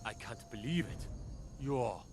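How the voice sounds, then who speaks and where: A man playing a proud, excitable Nord guard in a fantasy video game, earnest and declamatory about old legends. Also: mid-range voice, unhurried, vocal fry, very noisy. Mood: awed, earnest. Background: music.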